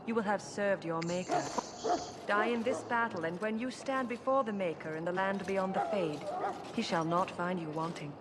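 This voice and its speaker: An actor in a fantasy game playing a solemn preacher blessing soldiers with measured, ceremonial oratory. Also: medium pitch, lightly acted. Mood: solemn, reverent. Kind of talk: dramatic game sermon, formal oratory. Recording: game audio with loud background noise.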